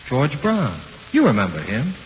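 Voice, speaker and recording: An actor playing the ghost of an executed gangster in 1940s radio horror, talking tough, streetwise slang with cool menace. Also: male voice, mid-range voice, huge pitch swings, measured pace. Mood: casual, cool. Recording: muffled hissy 1940s radio recording.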